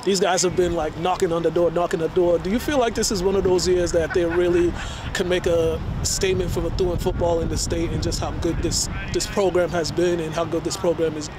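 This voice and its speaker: High-voiced man interviewing over outdoor noise. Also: fairly even intonation, a little fast. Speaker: sports interviewer. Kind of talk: casual postgame questioning. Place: outdoor football stadium at night. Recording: clear outdoor ambient noise.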